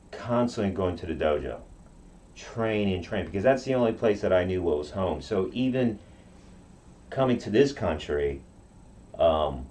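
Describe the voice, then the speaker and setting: A man raised in Okinawa whose first language is Japanese, telling his story in a halting interview with many restarts. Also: sits low, warm tone. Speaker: guest.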